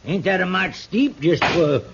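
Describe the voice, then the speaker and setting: An actor playing a frightened, folksy old drunkard who stammers and pleads in an old Western radio drama. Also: male voice, sits high, animated, a little slow, creaky, background noise, full character voice. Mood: sheepish, pleading. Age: older. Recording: muffled old radio recording, crowd murmur.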